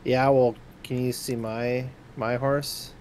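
A man's voice: medium pitch, little pitch movement, takes it slowly, darkish tone, slight background noise. A man in casual chat while playing a co-op video game, joking and a little crude. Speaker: co-op gamer. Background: game music.

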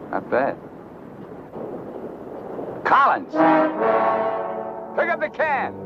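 A man's voice: extremely high pitch, wildly swooping pitch, drawn-out words, loud music behind the voice, full character voice. An actor playing a menacing, taunting gunman who gives curt, sneering orders in 1950s film noir style. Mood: taunting, shouting orders. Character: menacing, taunting gunman holding two men hostage. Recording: dull old 1950s film soundtrack.

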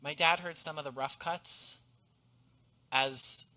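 A man in his thirties talks through a headset microphone on a video call. He sounds thoughtful and earnest, choosing his words with care. His voice has a bright, forward edge. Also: fairly high pitch, steady tone, slow, rough recording. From US East Coast. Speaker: podcast creator and guest. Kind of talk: reflective conversational interview. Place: home room, on a video call. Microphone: headset boom mic.

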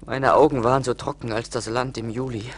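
An actor playing an emotional, plaintive young charcoal burner full of remorse in a radio play. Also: male voice, mid-range voice, fairly even intonation, fairly quick, lightly acted. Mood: despairing. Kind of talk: theatrical radio play acting.

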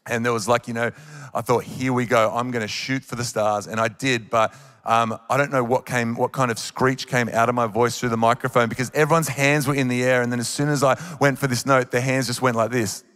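An Australian man in his thirties preaches on stage, earnest and encouraging. He speaks into a handheld microphone at an average pitch. His voice rings with the long reverb of a big live auditorium. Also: steady tone, brisk, a bit bright. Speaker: worship leader preaching. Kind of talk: sermon. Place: large church auditorium on stage.

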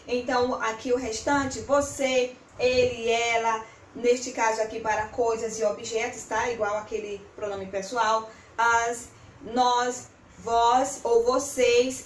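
A young Brazilian woman giving an informal, chatty English lesson to camera, encouraging as she explains. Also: sits high. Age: young adult. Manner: chatty, encouraging, animated with tag questions. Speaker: English teacher and video host. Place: small bare-walled room indoors with whiteboard. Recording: echoey room, distant mic, faint hiss.

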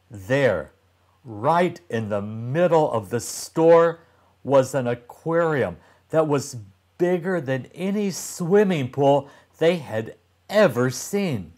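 Older guy doing a kids read-aloud, super slow and deliberate, expressive, medium pitch. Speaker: storybook reader for young children. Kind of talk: expressive read-aloud narration of a children's story.

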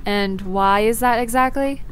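A woman's voice: a little high, measured pace, slight background noise, natural voice. An actress playing a curious, joking young woman with a casual way of talking, in an audio drama. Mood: skeptical. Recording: music behind the voice.

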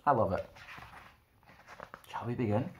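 A young man presenting and reading for children in an expressive storytime style, recorded indoors at about arm's length from the camera. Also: lowish voice, a little slow. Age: young adult. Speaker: storytime host reading a picture book. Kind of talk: expressive children's read-aloud with friendly presenting. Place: small plain indoor room.

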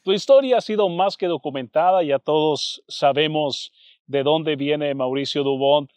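A man's voice: on the high side, measured pace, a little mellow, dry recording. Conversational male baseball broadcaster. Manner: conversational, asks prompting follow-up questions. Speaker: Spanish-language baseball broadcaster, interviewer. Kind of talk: interview questions.

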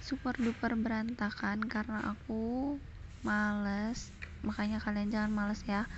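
A young woman from Indonesia giving a chatty, relaxed voice-over for her cleaning vlog, slipping in English phrases. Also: medium pitch, a little slow, highs cut off, slight background noise. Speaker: vlogger. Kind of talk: casual voice-over. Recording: voice-over with background music.

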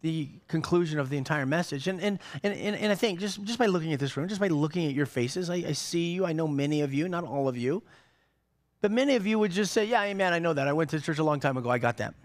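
A middle-aged man preaching a sermon on a church stage, animated, with many repeated words and restarts. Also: fairly high pitch, fast talker. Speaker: pastor.

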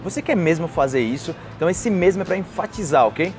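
A man's voice: fairly high pitch, expressive, fast talker, darkish tone, soft background music. A young man with a Brazilian accent teaching a conversational language lesson to camera, explaining with acted example phrases. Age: young adult. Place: outdoors on a patio by trees and a street. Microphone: lapel mic.

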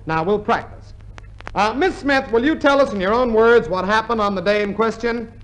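A high-voiced, silly little lawyer. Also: male voice, normal speed, fairly bright tone, poor audio. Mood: officious. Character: silly, bossy little lawyer fond of bad puns. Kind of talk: 1950s radio comedy acting. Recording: 1950s radio recording, faint hiss.

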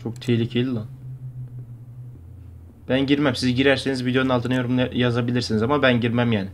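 Fast-talking young man reacting casually. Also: medium pitch, little pitch movement, slight background noise. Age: young adult. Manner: slangy, joking. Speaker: YouTube host reacting to a horror cartoon. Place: bedroom at home.

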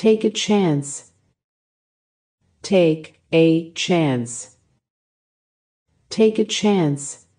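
Slow, careful woman reading drill phrases. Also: low-pitched. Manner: even, careful enunciation of short phrases. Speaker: language lesson reader.

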